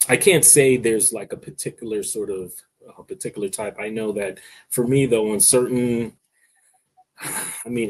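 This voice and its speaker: A man's voice: lowish voice, darkish tone, husky. A man who stutters, talking in a casual discussion as a guest, with frequent hesitations and repeated words.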